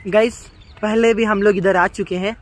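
A man's voice: high voice, steady tone, normal speed. A teenage or young man vlogging on his phone while walking through a park, chatting casually and calling out to his viewers again and again. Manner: chatty, repeats "guys" often, mixes English words into Hindi. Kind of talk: casual vlog walk-through. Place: outdoor city park. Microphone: handheld phone.

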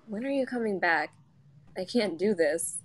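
A woman's voice: medium pitch, lively intonation, normal speed, lightly acted. A woman playing a feisty but anxious young sister in a science-fiction screenplay table read. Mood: distressed. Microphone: remote audio chat app. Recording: clicky, noisy online audio-chat connection.

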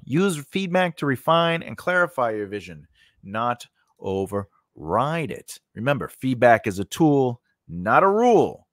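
A man's voice: mid-range voice, sing-song, a little slow, no room sound. A man in his forties giving an informal, emphatic tutorial into a close studio microphone, repeating key points and checking in with short questions. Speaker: host of a screenwriting tutorial channel. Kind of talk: informal instructional talk to camera, partly read from notes. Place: indoor home studio. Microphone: close studio condenser microphone on a mount.

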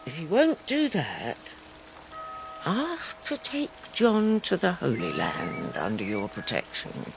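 A woman's voice: deep voice, wildly swooping pitch, slow pace, creaky, faint music behind, full character voice. Imperious ageing queen mother, wildly swooping. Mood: urgent counsel. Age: older. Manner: bawdy, imperious, urgent. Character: shrewd, blunt, commanding ageing queen mother. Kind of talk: historical radio drama acting.

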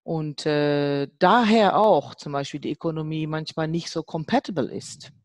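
A woman's voice: low-pitched, normal speed, darkish tone, no echo, studio quality. A middle-aged woman thinking aloud in a panel discussion, searching and hesitant as she forms her thoughts. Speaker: artist and art professor on a discussion panel.

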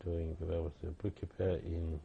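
An older man, giving halting oral history testimony with many restarts. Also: sits low, level tone, creaky, narrow, tinny audio, slight background noise. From Poland. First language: Yiddish. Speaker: interviewee.

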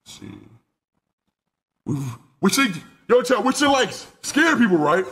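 A nineteen-year-old man streaming live from a small room on a headset mic, excitable and rambling, swearing freely. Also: very high voice, measured pace. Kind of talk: casual live stream chat.

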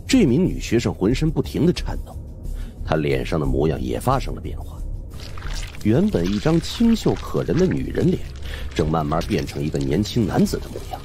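Very deep-voiced male suspense storyteller. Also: wildly swooping pitch, moderate pace, mellow, soft background music. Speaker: audiobook narrator. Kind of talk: dramatic scripted first-person supernatural suspense narration.

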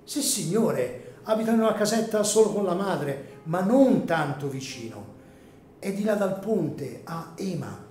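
A man's voice: high voice, lively intonation, unhurried, a little mellow, reverberant, slight background noise. A man playing an anxious, scrupulous cemetery caretaker in an Italian radio drama, agitated and hesitant. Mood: alarmed, protesting. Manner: agitated, hesitant, repeats words.

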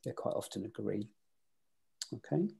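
A man's voice: fairly low pitch, animated, normal speed, gravelly, dark tone, husky. A man teaching sailing weather in an online club lecture over a video call, quizzing his listeners as he goes. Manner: interactive, quizzes the audience.

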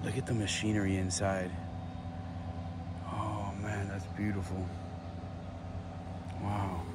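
A man's voice: lowish voice, flat delivery, slow pace. A man hosting a travel vlog outdoors, chatting casually and exclaiming in amazement at what he sees. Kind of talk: casual chat while touring. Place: outdoors in a small town. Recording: noisy outdoor recording, vehicle and ambient sounds.

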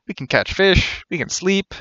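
A man's voice: high-pitched, expressive, moderate pace, sharp tone, no room sound. A man in his thirties giving casual live game commentary as a streamer, with wry, joking asides. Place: indoor room, webcam stream. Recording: dull lo-fi sound, music behind. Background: game music.

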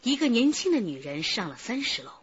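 A woman's voice: fairly high pitch, sing-song, brisk, breathy edge, dry recording. A woman narrator giving dramatic scripted narration for a crime radio play. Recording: slightly dull recording with music bed. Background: background music.

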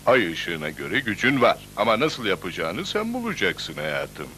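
A man's voice: deep voice, huge pitch swings, unhurried, bright, forceful tone, slight background noise, heavily acted. A man voicing a small friendly magical creature who guides and narrates in a dubbed cartoon. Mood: matter-of-fact. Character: small friendly magical creature, everyone's brother, guiding storyteller.

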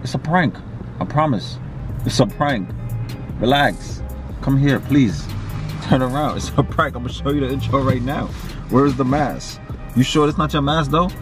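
A man's voice: mid-range voice, normal speed, over music. A man in his twenties in casual couple banter inside a car, speaking slangily, repeating himself in a coaxing way. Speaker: prank vlogger.

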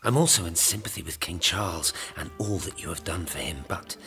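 A man's voice: sits low, a little fast, a bit bright, faint music behind. A man playing a sly cathedral canon who poses as a kindly confidant in an audio drama, formal and insinuating. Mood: falsely soothing.

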